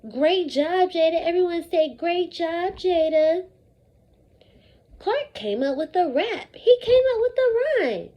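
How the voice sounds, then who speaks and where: A woman teacher leading an interactive lesson for young children, speaking in an animated, repetitive call-and-response way with deliberate emphasis. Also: extremely high pitch, dull audio. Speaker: elementary school teacher.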